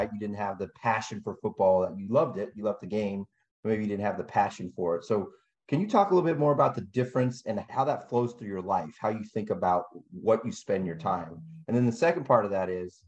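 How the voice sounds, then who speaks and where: A man's voice: on the low side, darkish tone, lo-fi sound. A man co-hosting a podcast, asking long, rambling questions full of hedges. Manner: rambling, hedging questions with "kind of" and "you know". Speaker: podcast co-host. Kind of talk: podcast interview.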